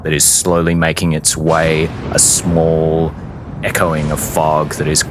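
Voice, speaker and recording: A man narrating a tabletop fantasy game as game master, deliberate and portentous, with long dramatic pauses. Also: a bass voice, monotone, background noise.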